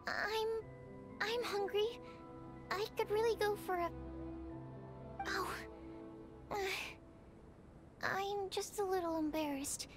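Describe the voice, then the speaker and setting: A woman's voice: very high-pitched, steady tone, takes it slowly, long pauses, over loud music. A woman playing a shy, earnest young female alchemist in a video game, polite and easily flustered, with hesitant speech.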